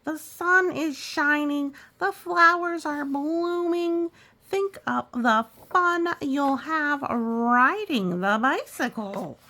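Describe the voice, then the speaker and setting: A woman voicing a cheerful, encouraging pig in a storybook, coaxing a friend with drawn-out words. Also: sits high, pitched above the performer's own voice, exaggerated voice. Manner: bright-spirited, drawn-out coaxing.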